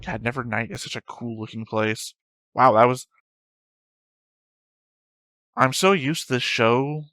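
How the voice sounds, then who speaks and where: A man's voice: medium pitch, lively intonation, unhurried, pauses often, bright, forceful tone, faint music behind. A man giving casual, blunt reaction commentary as the host of a reaction video.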